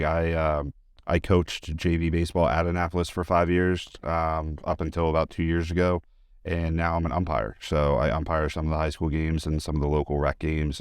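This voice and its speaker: A man's voice: a bass voice, smooth, no room sound, studio quality. A man giving a casual, chatty interview, telling anecdotes in an informal, enthusiastic way. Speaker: interview guest, high school teacher and sports announcer.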